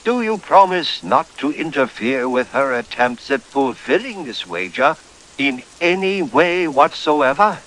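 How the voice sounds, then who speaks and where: A man's voice: medium pitch, very animated, measured pace, a bit bright, a little hiss or hum, rough recording. A man playing a genteel, droll lord who also narrates a horror-comedy radio play in a courtly, theatrical manner. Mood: challenging.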